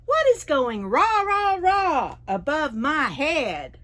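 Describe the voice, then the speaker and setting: A woman's voice: high-pitched, very animated, takes it slowly, fairly bright tone, voice pushed up, full character voice. A woman voicing a baffled young storybook boy kept awake by noisy neighbours, exclaiming and imitating noises in singsong. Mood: loud, puzzled.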